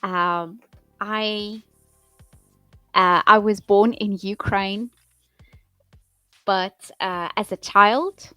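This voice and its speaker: A woman hosts a live stream as a teacher, speaking very slowly and repeating her questions for learners. The sound is dry and close, with faint music underneath. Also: medium pitch, steady tone, bright, forceful tone, clear, resonant tone, no room sound. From England. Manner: slow, friendly, repeats phrases to learners. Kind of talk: live-stream chat with viewers.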